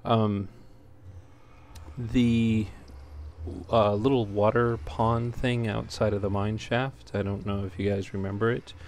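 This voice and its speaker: A man's voice: fairly low pitch, little pitch movement, takes it slowly, a little mellow. A man giving casual, unscripted gameplay commentary as a let's play host, talking in an easygoing, hesitant ramble. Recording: clearly noisy home recording.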